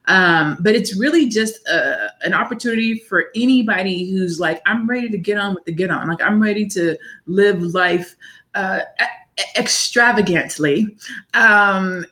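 A woman in her forties speaks as an interview guest, eager and faith-filled. Her voice is bright and forward, with ordinary pitch. She repeats words and restarts sentences as her enthusiasm runs ahead of her. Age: 40s. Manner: earnest, faith-driven, repeats words when excited. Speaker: author and guest. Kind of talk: casual interview chat.

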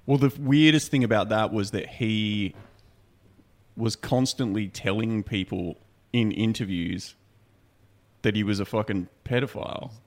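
A man's voice: on the low side, measured pace. A man hosting a podcast in casual banter, talking bluntly and swearing freely. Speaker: podcast host.

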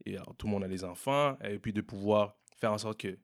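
A young man from Montreal with a Québécois French accent, answering interview questions in a relaxed way, often repeating and restarting words. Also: fairly low pitch, brisk. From Montreal, Quebec. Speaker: entrepreneur guest. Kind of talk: casual interview conversation.

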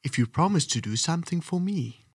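A man playing an earnest husky frog prince. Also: a little high, expressive, brisk, no echo, studio quality, pitched above the performer's own voice. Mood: pleading. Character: enchanted male frog prince, earnest and gentle.

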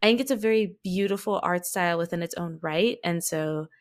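A woman's voice: on the low side, brisk. A woman talking as a podcast guest in a casual, chatty way, telling things expressively with self-deprecating asides. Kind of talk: casual chat about anime.

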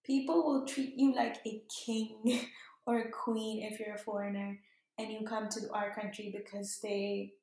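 A woman's voice: medium pitch, flat delivery, measured pace, darkish tone. A young woman from Pakistan, a native Urdu speaker, talking casually about her home with many ums and likes and light laughs. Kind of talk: casual explanation, personal talk. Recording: echoey room.

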